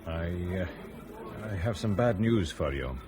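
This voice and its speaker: Low-voiced, sceptical senior pilot with animated delivery. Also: male voice, noisy background. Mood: grave, firm. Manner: deliberate, emphatic, sardonic reasoning. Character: senior male fleet pilot questioning a crashed colleague, measured, sceptical. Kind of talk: radio drama acting.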